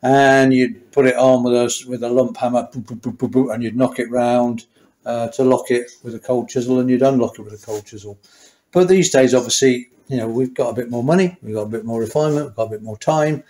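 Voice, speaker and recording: A man's voice: medium pitch, fairly even intonation, fairly quick, darkish tone. A mature man with a mid-range voice talks through a hands-on repair job. He is chatty and self-deprecating, dropping wry asides as he works at his bench. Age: middle-aged or older. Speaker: motorcycle mechanic and host. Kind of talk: casual hands-on workshop tutorial. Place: small indoor workshop at a workbench.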